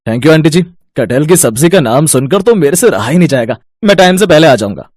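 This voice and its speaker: A man's voice: on the high side, lively intonation, fairly quick, a little mellow, dry recording, studio quality. A man playing a polite, earnest young bachelor neighbour in a family story, speaking respectfully. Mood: pleased, grateful. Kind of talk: Hindi family storybook dialogue.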